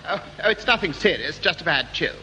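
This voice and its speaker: Fussy timid english gent, high voice, hesitant n prim, old 60s radio comedy. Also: male voice, lively intonation, a little fast, pushed and bright. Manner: hesitant, prim, nervous chuckling. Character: fussy, timid, genteel amateur dramatics producer. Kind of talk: 1960s British radio sitcom acting. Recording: faintly noisy 1960s radio broadcast.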